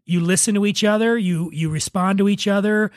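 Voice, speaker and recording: High-pitched man hosting a casual podcast. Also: brisk, no room sound, clean studio sound. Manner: informal, profane, conversational. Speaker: podcast host.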